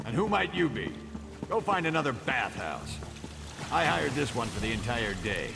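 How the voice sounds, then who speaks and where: A shifty male orc huckster for a fantasy game. His delivery is gravelly and swings up and down in animated bluster, smooth-talking and self-righteous, with music playing behind him. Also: medium pitch, normal speed. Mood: brusque, evasive. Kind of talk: video game character acting.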